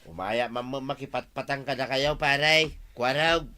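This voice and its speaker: An actor with an Ilocano accent playing a friendly, chatty village friend in a radio drama. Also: male voice, mid-range voice, a little slow, pushed and bright, clean tone. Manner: familiar, friendly banter.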